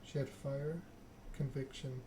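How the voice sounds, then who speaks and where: Man narrating in a flat ASMR style, noisy background. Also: medium pitch, measured pace. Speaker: video game player reading the story aloud. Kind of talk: ASMR game read-along, narration with dialogue.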